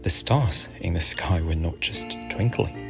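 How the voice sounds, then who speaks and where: A man softly narrating a soothing ASMR bedtime story in a gentle, unhurried, hushed manner over music. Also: deep voice, creaky. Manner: gentle, hushed, unhurried, at times near a whisper. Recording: music behind the voice.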